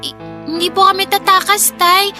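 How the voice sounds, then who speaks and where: A woman's voice: very high-pitched, flat delivery, moderate pace, over music. A woman voicing an innocent, affectionate little girl in a Filipino radio drama, polite and pleading. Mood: puzzled, pleading. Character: innocent, affectionate little girl, worried about her parents.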